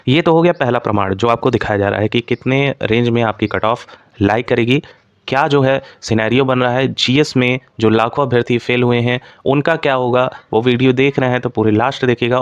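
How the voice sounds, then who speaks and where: A man with an average-pitched voice presents like an exam coach. He speaks directly to his viewers in an instructive way, often slipping in English terms. The sound is dry, with no room echo. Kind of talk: explanatory exam analysis for students.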